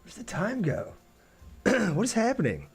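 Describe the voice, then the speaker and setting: A man's voice: high voice, huge pitch swings, unhurried, breathy edge, faint music behind. Chatty, laid-back male game streamer. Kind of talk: casual livestream chat over gameplay.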